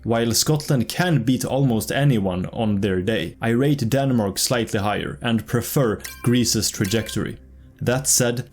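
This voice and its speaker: A man delivers scripted football commentary over faint background music. His pitch sits mid-range, his pace stays measured, and dry, wry asides run through the script. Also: darkish tone. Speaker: football predictions channel host.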